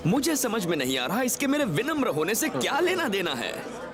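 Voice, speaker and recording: A man's voice: sits high, sing-song, normal speed, crowd noise. A man voicing a vain, baffled young footballer in a cartoon dub, comic and fussy. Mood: confused, fussy. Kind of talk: dubbed cartoon acting. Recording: music behind the voice.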